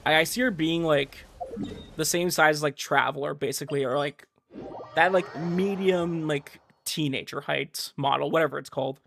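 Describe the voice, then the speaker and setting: Higher-pitched man streaming, music behind him. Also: fairly even intonation, moderate pace, creaky, fairly bright tone. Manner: rambling, conversational. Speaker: livestreamer. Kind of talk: casual chat with stream viewers. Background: background music.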